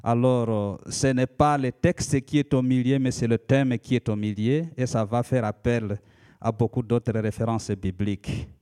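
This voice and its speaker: A man in his thirties from the Democratic Republic of the Congo, a native Lika speaker, preaching in a church in a measured teaching manner with frequent hesitations. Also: medium pitch, fairly even intonation, warm tone. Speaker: pastor. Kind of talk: sermon.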